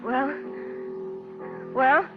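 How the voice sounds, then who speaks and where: A woman playing a distraught, defiant wife in an old-time radio melodrama, with an intense, halting, dramatic delivery. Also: sits high, music in the background. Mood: anguished. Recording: muffled, noisy vintage radio recording.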